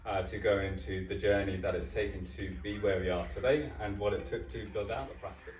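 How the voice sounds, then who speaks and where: A man's voice: fairly low pitch, fairly even intonation, brisk, darkish tone. A man hosting a casual interview, upbeat and playful, with some filler ums. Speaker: host, co-founder. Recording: roomy sound from a distant mic with music.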